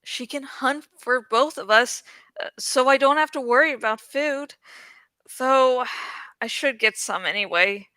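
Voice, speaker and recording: A woman reading the thoughts of a troubled, resolute young farm boy in a storybook, muttered and deliberate. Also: high voice, pushed and bright, dry recording. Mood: planning.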